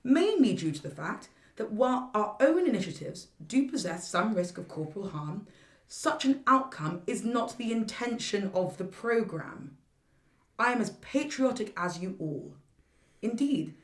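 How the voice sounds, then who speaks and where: A woman's voice: medium pitch, lively intonation, normal speed, roomy sound, recorded from a distance, natural voice. A woman playing a principled, cutting government minister in a satirical radio play, with a formal, oratorical delivery. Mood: indignant, formal.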